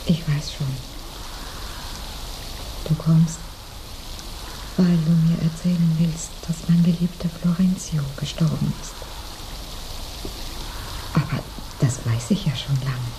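A woman acting in a German radio play as a grieving, sensual dead woman, murmuring dreamily with a breathy half-whisper. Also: low voice, steady tone, takes it slowly, long pauses, background noise. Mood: resigned.

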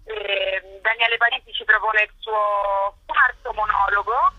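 A woman's voice: mid-range voice, measured pace, no echo, phone-quality sound. A woman giving an interview as a guest, explaining an arts programme in an articulate way. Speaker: interview guest, festival curator and performer.

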